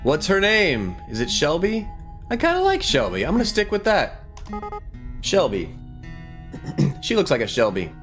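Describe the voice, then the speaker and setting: A man's voice: on the high side, huge pitch swings, a little slow, pauses often, fairly bright tone. A man giving casual let's play commentary, thinking aloud in an informal way and sometimes reading game text. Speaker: gaming video host. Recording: music behind the voice. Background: guitar game music.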